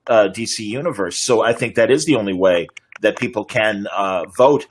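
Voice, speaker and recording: A man's voice: fairly low pitch, moderate pace, a little hiss or hum. A man hosting a panel interview, asking hesitant, rambling questions. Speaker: interview host and moderator. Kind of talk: fan panel interview over video call. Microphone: video call.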